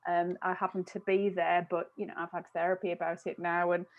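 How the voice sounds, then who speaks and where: A woman's voice: on the low side, little pitch movement, brisk, phone-quality sound. A woman in her thirties chatting casually as a podcast panelist, hesitant and often interrupting herself as she looks for words. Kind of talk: casual chat. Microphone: wired earphones on video call.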